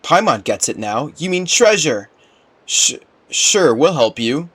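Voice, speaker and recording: A man's voice: a little high, big pitch swings, unhurried, a bit bright, slightly rough, heavily acted. A man voicing a tiny, chatty, childish female fairy companion from a video game, curious and inquisitive. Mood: agreeable. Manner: talks of self in third person, inquisitive.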